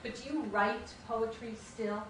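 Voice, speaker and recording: A woman's voice: fairly high pitch, steady tone, measured pace, fairly bright tone, a little hiss or hum. Distant, very echoey woman asking a question. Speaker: audience questioner. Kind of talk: spontaneous question to a speaker. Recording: very echoey hall, far from mic.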